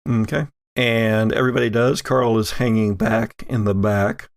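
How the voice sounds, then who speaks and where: A man's voice: fairly low pitch, steady tone, moderate pace, no room sound. A man acting as game master, improvising narration for a tabletop horror game.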